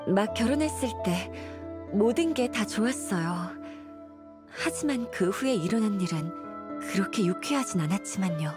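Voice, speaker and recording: A woman's voice: medium pitch, lively intonation, normal speed, music in the background, natural voice. An actress playing a weary, apologetic wife and mother burdened by debt in a video game, speaking politely and plaintively. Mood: wistful. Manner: polite, plaintive, earnest storytelling.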